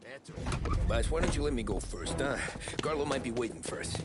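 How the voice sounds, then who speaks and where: A man's voice: mid-range voice, lively intonation, fairly quick, heavy background noise, lightly acted. An actor playing a tough, loyal gangster who drives and backs up his boss in a game cutscene. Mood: steady. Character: loyal male mob driver and enforcer.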